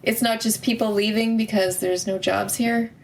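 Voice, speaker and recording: A woman's voice: medium pitch, fairly even intonation, normal speed, slight background noise. A woman casually role-playing in a tabletop game, questioning and sarcastic. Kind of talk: casual in-character roleplay chat.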